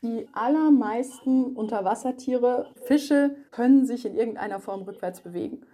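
A woman's voice: a little high, mellow. A woman, an animal expert explaining things to children conversationally and matter-of-factly.

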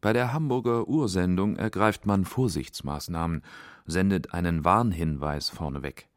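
A man's voice: low-pitched, moderate pace, dry recording, studio quality. A man reading scripted narration for a radio documentary. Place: dry studio.

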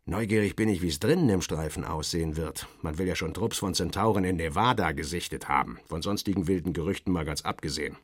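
Low-voiced male literary narrator, wry. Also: fast, hardly any pauses, slightly rough, no echo. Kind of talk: first-person literary narration, satirical.

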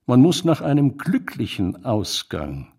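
An earnest male theorist of imaginary operas, interviewed in a radio play. His delivery is formal and emphatic, with a lively, widely moving pitch at a middle register. He explains like a scholar who takes his schemes entirely seriously. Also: measured pace, vocal fry, husky, heavily acted. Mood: earnest, didactic. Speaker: interviewed author.

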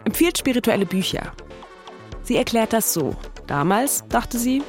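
A woman's voice: a little high, big pitch swings, measured pace, faint music behind. A woman hosting a podcast, telling a scripted story in a conversational way. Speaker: podcast host and narrator.

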